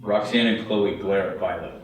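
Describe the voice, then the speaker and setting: A man's voice: low voice, steady tone, normal speed. A man reading stage directions as the narrator of a screenplay table read. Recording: very echoey room, distant, faint noise.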